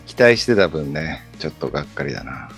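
A man's voice: extremely low pitch, expressive, brisk, fairly bright tone. A man acting as the stern, pompous director of an acting workshop, giving critiques. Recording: music behind the voice.

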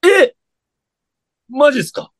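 An actor in a radio drama playing a reluctant younger man who stammers and reacts loudly to surprises. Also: very high-pitched, animated, takes it slowly, no echo, clean studio sound, exaggerated voice. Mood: excitable. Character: reluctant younger man, excitable, respectful junior.

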